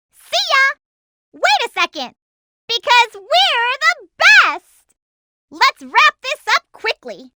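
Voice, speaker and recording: A woman playing a cute, feisty, lovestruck young hedgehog girl in an animated video game, with a girlish voice and a perky, sassy manner. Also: very high voice, very noisy, full character voice. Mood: excited, lovestruck. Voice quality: girlish, bright.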